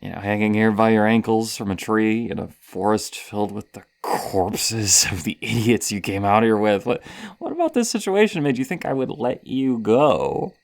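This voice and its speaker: A man playing a gleeful, hesitant serial killer villain toying with prey in a horror roleplay, stammering and pausing dramatically between breathy sighs. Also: on the low side, lively intonation. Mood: taunting, menacing. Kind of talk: ASMR horror roleplay monologue.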